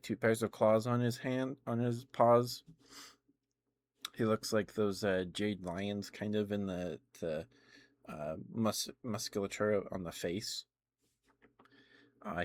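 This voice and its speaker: A man's voice: sits low, no room sound. A man live streaming casual, rambling ASMR chat about games, often hesitating and correcting himself.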